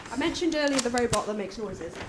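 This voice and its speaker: Female podcast co-host, high voice, casual chat, noisy echoey recording. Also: animated, fast. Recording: echoey room, clearly noisy, with clicks.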